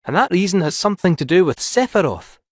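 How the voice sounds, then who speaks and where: A small, wily robot cat from a video game, chattering in a Scottish accent. The delivery is theatrical and folksy, with pitch that leaps about in a lively way. It is recorded very dry, with no room sound. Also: male voice, fast talker, dry recording, exaggerated voice. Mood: grave, probing. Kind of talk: scripted video game character dialogue.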